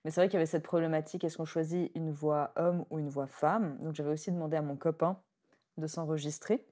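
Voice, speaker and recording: A woman, hosting a podcast in relaxed conversation. She talks reflectively and laughs easily. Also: low-pitched, brisk. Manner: chatty, reflective, laughs easily, leans on "en fait". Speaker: podcast host.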